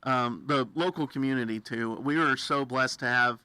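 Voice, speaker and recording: A man's voice: medium pitch, normal speed, pushed and bright, clear, resonant tone, no echo. An American man hosting a friendly radio interview with an enthusiastic, affirming, folksy manner. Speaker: radio host interviewing a guest.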